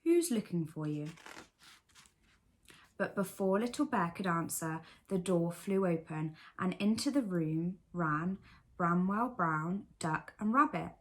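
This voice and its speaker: A woman's voice: fairly low pitch, unhurried. A woman reading a scripted children's bedtime story aloud as the storyteller.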